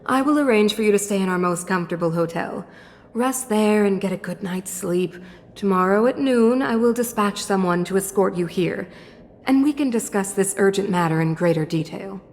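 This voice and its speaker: A woman's voice: medium pitch, moderate pace, a little mellow, big-room sound, slight background noise, close to an everyday voice. A woman voicing a dignified, guarded female ruler in a video game, speaking formally and with measure. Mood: gracious, formal. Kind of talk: English video game dub acting.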